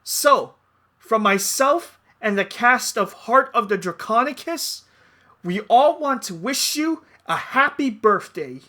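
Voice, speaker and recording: A man's voice: very high-pitched, animated, takes it slowly, pushed and bright. A man speaking as host in a cheerful birthday message. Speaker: host, dub organizer.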